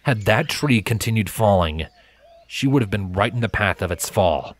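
A man's voice: lowish voice, expressive, moderate pace. A man reading scripted fantasy fiction as a storybook narrator. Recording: music bed behind narration.

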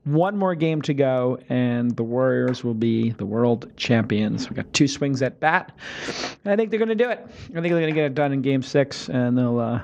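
A man in his forties hosting a casual studio interview, his voice slightly hoarse from shouting, talking with energy and his hands. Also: mid-range voice, expressive, moderate pace, muffled recording. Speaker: podcast host. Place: podcast studio. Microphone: studio microphone on boom arm.

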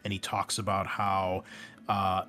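A man's voice: low voice, completely flat delivery, a little slow, bright, forceful tone, faint music behind. A man hosting a casual introduction, talking in an enthusiastic, rambling way as a game master. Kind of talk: casual introduction talk to listeners. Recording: clear background noise.

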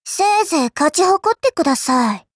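A woman's voice: high voice, very animated, moderate pace, dry recording, clean studio sound. A woman voicing a mischievous, teasing little girl villainess in a mobile game, coy and polite with playful menace. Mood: vengeful, defiant. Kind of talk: Japanese mobile game character voice lines.

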